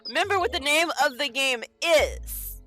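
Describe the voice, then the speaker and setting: A woman's voice: high-pitched, lively intonation, normal speed, bright, forceful tone, soft background music. A playful, giggly woman streaming a visual novel, chatting casually and reading out dialogue.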